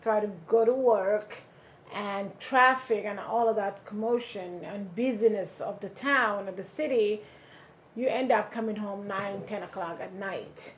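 A woman's voice: medium pitch, unhurried, a little hiss or hum. A middle-aged woman from Somalia, interviewed for an oral history, telling her story in a conversational way that livens up when she quotes people.

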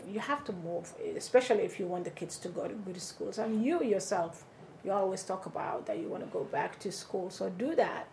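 A middle-aged woman from Somalia recounts her life in an oral history interview, in a mid-range voice. She talks in an easy storytelling way and grows animated when she quotes others. Faint ambience sits in the background. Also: medium pitch, vocal fry, slight background noise, rough recording. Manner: conversational storytelling, animated when quoting people.

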